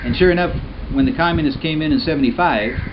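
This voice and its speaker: A man born and raised in Vietnam, telling a personal testimony in an earnest, plain-spoken way with frequent pauses. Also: on the high side, dull audio, background noise. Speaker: storyteller. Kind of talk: personal testimony told to camera.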